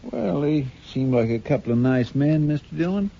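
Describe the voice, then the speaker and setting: A noisy, muffled old radio recording of a trusting frontier sidekick. His voice sits a bit high, and he speaks in a folksy, simple, deferential way. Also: animated, moderate pace, gravelly. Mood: trusting, puzzled. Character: naive, loyal, deferential deputy sidekick, grown man. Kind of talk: 1950s radio western acting.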